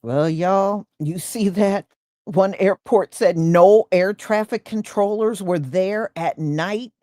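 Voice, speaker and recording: An American woman commentator speaks at a slow pace in a dry, close recording. Her delivery is colloquial and emphatic, addressed straight to the audience as personal opinion. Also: mid-range voice, dark tone, no room sound. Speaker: host commentator.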